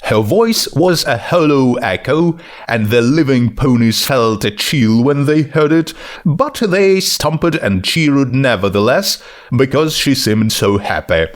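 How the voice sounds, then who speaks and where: Slow, expressive male story reader. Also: mid-range voice. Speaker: fan fiction reader. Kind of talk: scripted story narration.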